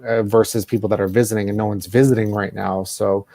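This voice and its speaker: Man with a gravelly, creaky voice. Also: fairly low pitch, level tone, fast talker, mellow, no echo. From Canada. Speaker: podcast guest, travel website creator. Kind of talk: casual podcast interview.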